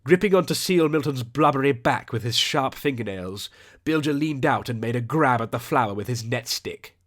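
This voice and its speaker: A man's voice: mid-range voice, animated, normal speed, a bit bright. A man narrating a scripted audiobook adventure in a theatrical, descriptive storytelling manner.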